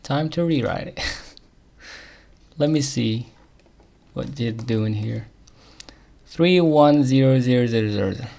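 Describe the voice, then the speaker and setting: A man with an Arabic accent thinking aloud while debugging code on a live coding stream, muttering to himself with quick chuckles. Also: medium pitch, creaky, warm tone.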